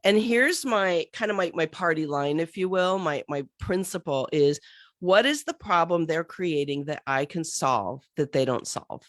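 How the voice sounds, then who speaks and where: A middle-aged woman with a cold, hoarse and a bit scratchy, chatting animatedly as a podcast guest with many fillers. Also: low voice, unhurried, no echo, clean studio sound. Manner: animated, thinks aloud with many fillers and restarts. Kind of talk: casual interview chat.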